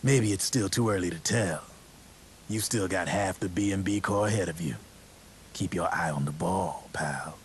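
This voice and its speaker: A man playing a military contact in a video game, telling grim war stories in an ominous, deliberate, sardonic way. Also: deep voice, natural voice. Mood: sardonic, familiar. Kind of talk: dramatic video game storytelling. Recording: faint background hiss.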